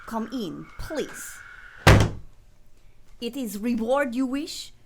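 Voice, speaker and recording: Melodramatic femme fatale, high voice, slow drawn-out delivery, stilted formal wording, radio drama. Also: female voice, lively intonation, very noisy, heavily acted. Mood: wary, imperious. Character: dramatic, kept mistress with foreign formal speech, "Dragon Lady". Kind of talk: radio play acting.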